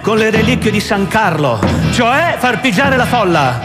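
A man's voice: high voice, very animated, measured pace. A man narrating and commenting in a scripted, theatrical radio-drama style. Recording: long hall reverb, loud music behind.

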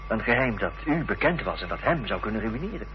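An actor playing an earnest, probing gentleman in a radio drama, with a grave, measured way of questioning. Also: fairly low pitch, sing-song. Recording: muffled, noisy old radio recording.

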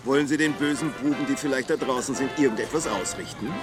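An actor playing an eager, self-important small-town reporter with an Austrian accent in a radio drama, gushing and pompous. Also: male voice, medium pitch, fast talker, music in the background, natural voice. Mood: eager, fawning. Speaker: amateur local video reporter.

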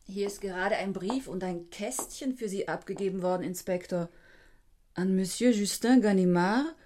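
An actress playing a polite, formal hotel messenger in a radio play. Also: female voice, mid-range voice, moderate pace, a little mellow, lightly acted.

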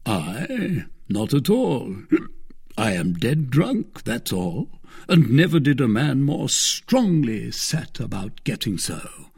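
A man voicing a calm, jeering, tipsy musketeer nobleman in an adventure audiobook, with deliberate, drawn-out words. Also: on the low side, creaky, slightly rough, heavily acted. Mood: tipsy, boastful. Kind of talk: dramatised classic adventure audiobook.

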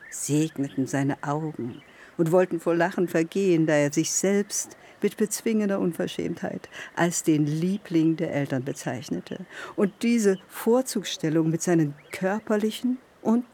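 A woman's voice: low voice, warm tone. A woman narrating literary prose for radio in a formal storytelling manner.

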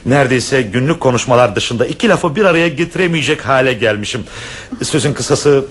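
A shy, courtly widowed professor. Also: male voice, medium pitch, expressive, a little fast, husky. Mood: bashful. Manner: courtly, old-fashioned formal speech. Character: shy, formal widowed male professor, middle-aged. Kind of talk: vintage radio play acting.

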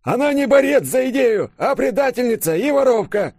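A man's voice: very high-pitched, sing-song, moderate pace, a little mellow, no echo, clean studio sound, pitched above the performer's own voice, exaggerated voice. A man voicing a grumpy, querulous old professor with huffy, pompous speech in an audiobook. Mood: indignant. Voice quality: elderly, grumbling, puffing.

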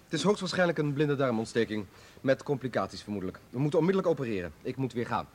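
An actor in a radio play playing a brisk, self-assured hospital surgeon with a polite, decisive, professional manner. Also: male voice, mid-range voice, big pitch swings. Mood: urgent.

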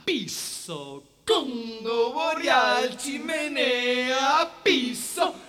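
A man performing sound poetry, chanting and shouting repeated words on an archival cassette recording. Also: very high-pitched, animated, very slow.

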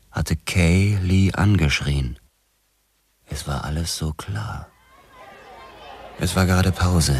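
An actor playing a brooding, world-weary detective who narrates a radio drama in a measured, sombre manner. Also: male voice, a bass voice, darkish tone, husky, exaggerated voice. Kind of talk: scripted first-person radio drama narration.

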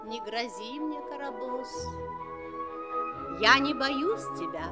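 A calm, fearless good fairy in a fairy-tale audio play. She speaks very slowly in a high voice, serene but firm. Loud music swells behind her. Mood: calm, resolute.

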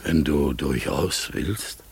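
A man playing a gentle, fearful talking raven in a German radio play, halting, solemn and wondering. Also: rumbling low, slightly rough, full character voice. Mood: anxious, reluctant. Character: melancholy talking raven, gentle, fearful, lost between bird and human. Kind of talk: mid-century German radio play acting. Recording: old radio recording with noise.

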